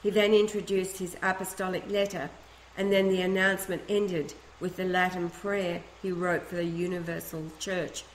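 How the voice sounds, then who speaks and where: A woman reads a first-person letter aloud, her voice sitting a little low. She keeps a steady, earnest pace with the odd restart. The recording rings with room echo over a faint ambient hum. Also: normal speed. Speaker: reader of a letter.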